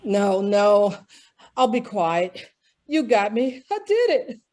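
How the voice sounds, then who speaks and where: A woman playing a guilt-crazed male murderer in a radio drama, halting and pleading as he repeats himself in his breakdown. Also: mid-range voice, big pitch swings, dark tone. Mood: frantic confession. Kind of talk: old-time radio drama acting.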